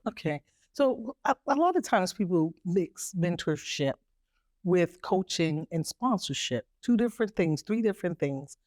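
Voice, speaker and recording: A woman speaking as a guest mentor, explaining things calmly in conversation. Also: fairly low pitch, unhurried, no echo, studio quality.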